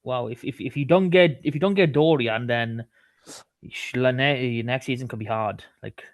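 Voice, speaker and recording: A man co-hosting a sports podcast, talking casually about rugby in a halting way, often repeating words. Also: mid-range voice, dry recording.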